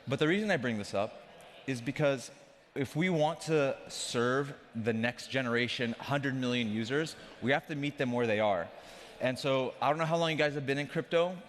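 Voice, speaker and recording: A man's voice: medium pitch, moderate pace, slight background noise. Joking male keynote speaker in a big hall. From Afghanistan. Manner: joking, conversational, addresses the crowd directly. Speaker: conference speaker, company CEO. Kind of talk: conference keynote talk. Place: large conference hall stage. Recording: long reverb of a large hall.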